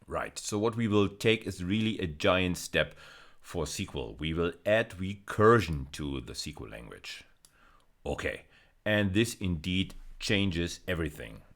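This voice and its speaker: A man lecturing in an explanatory style, pausing and repeating words as he goes, with emphatic stresses. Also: sits low. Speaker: university lecturer.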